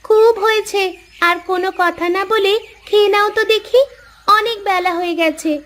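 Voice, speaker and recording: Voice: extremely high pitch, fairly even intonation, normal speed, fairly bright tone. A performer voicing a cheeky, affectionate young farmer's daughter in a moral-story cartoon, teasing and a little bossy. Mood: fond scolding.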